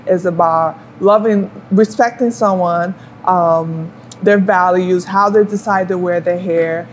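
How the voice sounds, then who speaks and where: A woman hairstylist from Liberia talks through her work in a relaxed, conversational way, often checking in with "you know" and "right." A faint hiss sits under the recording. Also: on the low side, measured pace. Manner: warm storytelling with fillers and rhetorical "you know". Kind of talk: casual chat while styling hair.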